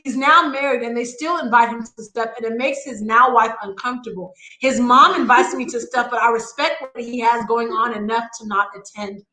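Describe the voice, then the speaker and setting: A woman's voice: fairly high pitch, steady tone, fairly quick, hardly any pauses, sharp tone. A woman in her thirties co-hosting a casual talk show, chatty and posing questions to the group. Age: 30s. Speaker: co-host. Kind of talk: casual chat. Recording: echoey room.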